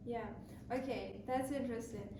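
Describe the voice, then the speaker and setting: A woman's voice: fairly high pitch, moderate pace. A young woman asking interview questions with slightly phrasing and encouraging murmurs. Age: young adult. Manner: slightly non-native phrasing, encouraging mm-hmms. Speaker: student interviewer. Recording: roomy, distant mic, noisy.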